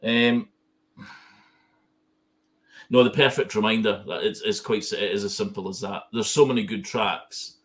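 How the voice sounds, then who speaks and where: A man thinking aloud in a relaxed chat, hesitant and full of restarts. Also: on the low side, moderate pace, long pauses. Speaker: guest fan. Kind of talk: casual conversation.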